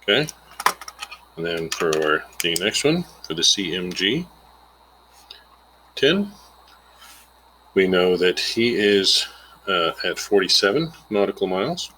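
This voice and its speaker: A man's voice: low voice, sharp tone, a little hiss or hum, poor audio. A man giving an informal, plainspoken lesson, explaining a worked example step by step and thinking aloud as he calculates. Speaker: instructor.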